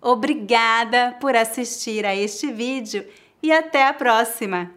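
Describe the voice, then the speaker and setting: A Brazilian woman teaching pronunciation on her channel, with a high voice. She speaks very slowly and articulates every word carefully so learners can repeat after her. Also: fairly bright tone, soft background music. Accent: Brazilian Portuguese. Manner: clear, deliberate enunciation for learners. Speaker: Portuguese language teacher. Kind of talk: slow pronunciation lesson.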